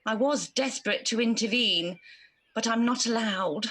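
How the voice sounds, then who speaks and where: A woman's voice: on the high side, moderate pace, a bit bright. A woman playing an earnest, protective good fairy, speaking theatrically in a radio pantomime. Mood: worried.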